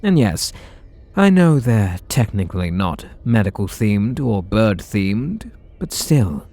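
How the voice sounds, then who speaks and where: A man's voice: lowish voice, very animated, slow pace, dark tone, heavily acted. A man voicing a bitter, sarcastic supervillain in an ASMR roleplay audio drama, talking in a sardonic, mocking way. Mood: sneering. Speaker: voice actor.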